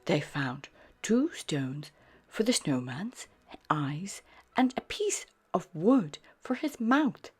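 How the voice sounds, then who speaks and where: A woman reading a children's picture book aloud in a gentle, soft narration. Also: lowish voice, sing-song, slow, breathy edge, faint music behind. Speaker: storyteller.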